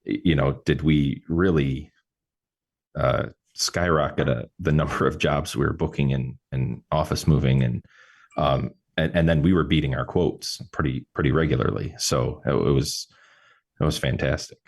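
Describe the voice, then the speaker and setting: A man hosting a podcast conversation, talking casually and haltingly with lots of restarts. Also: rumbling low, fairly even intonation, vocal fry. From New York State. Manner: halting, many restarts and fillers. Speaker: podcast host, mover. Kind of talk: casual interview chat.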